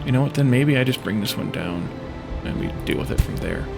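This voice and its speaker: Creaky-voiced man musing over gameplay. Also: medium pitch, expressive, brisk. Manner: musing, tentative, talking to himself. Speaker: let's play host. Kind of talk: casual gameplay commentary, thinking aloud. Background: music behind the voice.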